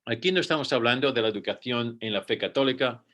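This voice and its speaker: A man from Spain presents as a school director on a panel, at a middle pitch. He reads his prepared remarks in a measured way and stumbles now and then to restart a word. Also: a bit bright. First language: Spanish, with rusty fluency. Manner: measured, read-out delivery with occasional restarts.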